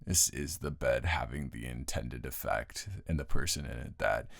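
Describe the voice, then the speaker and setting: A man playing a tired, grumpy but secretly soft roommate in an improvised intimate audio roleplay, talking straight to the listener in a halting, teasing drawl. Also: a bass voice, vocal fry, no echo, studio quality, full character voice. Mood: soft, content.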